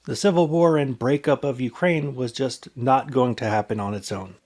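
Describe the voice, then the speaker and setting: Man, presenter, scripted explainer narration, medium-pitched voice. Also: normal speed, a little mellow.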